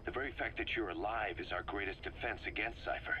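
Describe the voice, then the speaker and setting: A man's voice: fast talker, pushed and bright, muffled recording. An actor voicing a fervent, embittered mercenary second-in-command in a video game, briefing his boss in an emphatic, persuasive way. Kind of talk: video game dramatic dialogue. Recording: noisy cassette briefing with background rumble.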